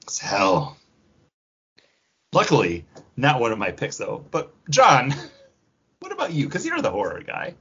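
Animated, husky-voiced man on a podcast. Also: medium pitch, unhurried, pauses often, muffled recording. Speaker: podcast host. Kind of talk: casual podcast chat.

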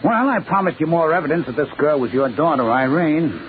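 A man's voice: fairly high pitch, expressive, a little fast, lightly acted. Sly, swooping male swindler on noisy old radio acted by a man. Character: smooth-talking, sly male private detective and swindler. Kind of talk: 1940s radio detective drama acting. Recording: noisy narrow-band old radio broadcast.

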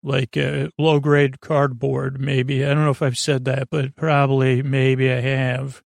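A middle-aged male podcast host talks listeners toward sleep in a creaky, fry-laden voice at a medium pitch. He meanders and hesitates, often starting a phrase over or drifting off mid-thought. The sound is very dry, with no room echo. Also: flat delivery, measured pace. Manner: meandering, hesitant, trailing off and circling back. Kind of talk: rambling bedtime monologue to help listeners sleep.

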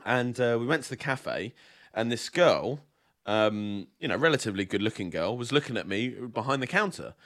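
Young guy, mid-pitched, chatty podcast co-host, rambling storyteller with plenty of fillers. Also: expressive, normal speed, a bit bright. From southern England. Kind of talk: casual chat.

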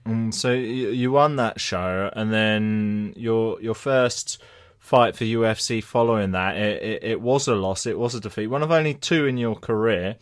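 A man's voice: lowish voice, normal speed. A man interviewing a guest, hesitating and repeating words as he forms his questions.

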